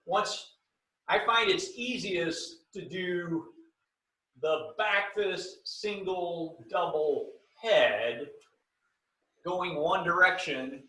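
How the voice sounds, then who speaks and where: A man teaching a martial arts class over a video call, calling out drill commands and explaining them in a relaxed way. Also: fairly high pitch, slow. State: bothered by low back pain. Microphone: computer mic on a video call. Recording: roomy video call audio from a distance.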